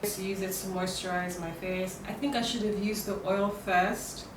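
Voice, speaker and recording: A young woman talks her viewers through a makeup routine in a relaxed, rambling way, her voice croaky from a cold. She is recorded in an echoey room from some distance away. A steady hiss of noise sits under her voice. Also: medium pitch, normal speed. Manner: chatty, with many fillers and restarts. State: hoarse from a cold. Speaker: beauty vlogger. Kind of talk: casual makeup tutorial talk. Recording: roomy, distant, noisy home recording.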